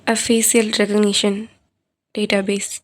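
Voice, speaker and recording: Female horror narrator. Mid-pitched, evenly measured, deliberate and dramatic storytelling. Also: little pitch movement.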